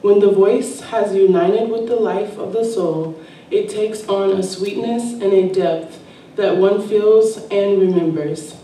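A woman reading a spiritual text aloud at a church service, slow and measured. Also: mid-range voice, dark tone, a little hiss or hum. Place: church sanctuary. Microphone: distant room mic. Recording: echoey sanctuary from far off.

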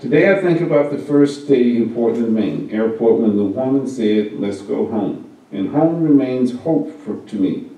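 A man reading a first-person story aloud at a church service, in a measured, unhurried way. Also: mid-range voice, expressive, mellow. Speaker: service reader. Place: church meeting room. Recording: very echoey hall, mic far off.

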